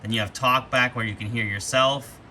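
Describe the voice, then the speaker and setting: A man's voice: medium pitch, steady tone, normal speed, pushed and bright. A man hosting a casual, hands-on product walkthrough, explaining things informally as he goes. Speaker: host demonstrating radio gear. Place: attic, with rain outside. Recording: background noise, rainy attic ambience.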